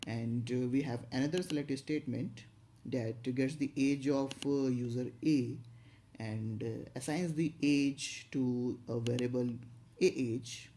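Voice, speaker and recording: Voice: mid-range voice, steady tone, unhurried, dark tone, slight background noise. An instructor narrating an explanatory tutorial, going step by step with some hesitation and repetition.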